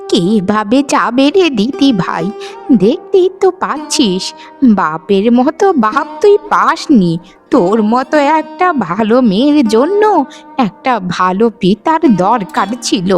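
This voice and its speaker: A woman's voice: fairly high pitch, very animated, a little slow, faint music behind. A woman voicing an aggrieved elderly village grandmother in a cartoon, plaintive and reproachful. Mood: lamenting. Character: aggrieved elderly village grandmother, scolding her wayward son. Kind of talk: cartoon voice acting in a moral story.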